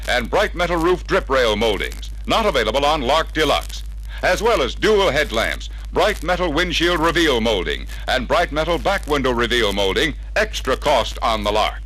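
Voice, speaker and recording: An American man reading a scripted 1960s promotional narration in a measured, emphatic, salesmanlike way, from an old record. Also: fairly high pitch, a bit bright, a little hiss or hum, rough recording. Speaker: narrator of a salesman training film. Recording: vintage 33-1/3 RPM record sound.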